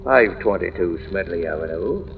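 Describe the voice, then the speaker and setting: A man's voice: deep voice, animated, moderate pace, gravelly. An actor playing an over-helpful, unsettling building workman in an old-time radio thriller, folksy and insistent with odd hesitations. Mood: eerily casual. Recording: noisy, tinny old radio recording.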